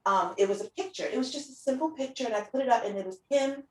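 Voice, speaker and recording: A woman's voice: high voice, quick pace. A middle-aged woman chatting casually as an interview host, telling stories and acting out what people said. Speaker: interview host and preschool teacher. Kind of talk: casual conversational chat. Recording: roomy echo, distant from the mic.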